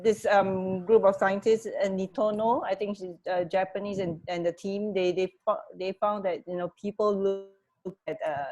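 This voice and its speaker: A woman co-hosting a radio show in casual chat, halting as she searches for words. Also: mid-range voice, fairly even intonation, darkish tone, muffled recording.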